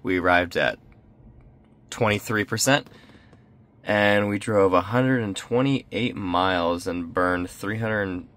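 A man's voice: deep voice, slow, fairly bright tone. A man casually chatting to viewers in a road trip vlog, upbeat and talkative, speaking from inside his car. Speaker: vlogger. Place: inside a parked or moving car.

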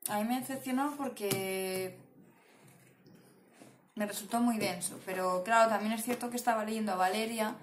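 A woman's voice: mid-range voice, normal speed, pauses often. A young woman with a Castilian Spanish accent chatting casually and rambling informally to the camera as a book vlogger. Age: young adult. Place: small indoor room at home. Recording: echoey room, clear background noise.

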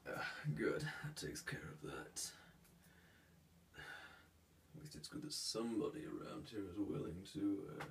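A man's voice: low-pitched, very animated, unhurried, vocal fry, long pauses, distant mic, a little hiss or hum. A man voicing a haughty, sick young heir in an ASMR roleplay, formal and hesitant with fillers, stuffy with a cold and sneezing.

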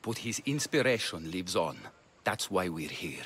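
A solemn, loyal male conspirator for a game. His voice is deep and husky, with lively inflection. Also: measured pace, heavily acted. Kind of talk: video game cutscene acting.

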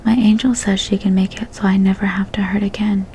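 An earnest, sad young boy whispers his words in a flat, even murmur, as a woman reads him aloud. The tone stays soft and mellow throughout this whispered storybook reading. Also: medium pitch, a little fast, a little hiss or hum, natural voice. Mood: pleading.

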